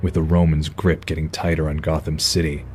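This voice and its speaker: An actor playing a brooding, stern vigilante detective, narrating noir style in a raspy, terse, measured voice. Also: male voice, rumbling low, flat delivery, a little mellow, a little hiss or hum. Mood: grim, brooding. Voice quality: husky, raspy.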